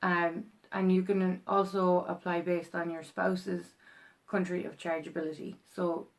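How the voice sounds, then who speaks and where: A young woman with an Irish accent talks straight to camera in a loose, rambling vlog. Her voice sits a little low and has a gravelly fry running through it. She keeps stopping and restarting with plenty of ums. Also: level tone, a little slow. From Ireland. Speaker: vlogger. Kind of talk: casual explainer vlog.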